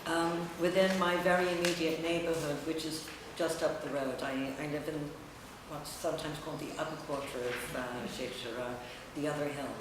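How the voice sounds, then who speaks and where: A middle-aged woman, a writer, presenting her work in a halting, reflective public talk with long pauses. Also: sits low, fairly even intonation. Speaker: writer and editor presenting own essay. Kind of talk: public talk, semi-improvised. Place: echoey indoor room at a public event. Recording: very echoey distant room recording, noisy.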